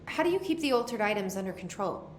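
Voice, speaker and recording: A woman's voice: medium pitch, big pitch swings, quick pace, echoey room, a little hiss or hum, natural voice. An actress playing a guarded, determined young woman in a video game, speaking in a terse, matter-of-fact way. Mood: guarded, businesslike. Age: young adult. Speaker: game protagonist. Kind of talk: video game dialogue acting.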